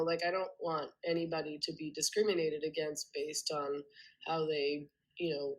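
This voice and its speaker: A woman's voice: sits low, a bit bright, low-quality recording. A woman with a cold, sounding congested, explaining her views earnestly at length with frequent ums and restarts as a podcast guest. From Canada. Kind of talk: remote interview conversation.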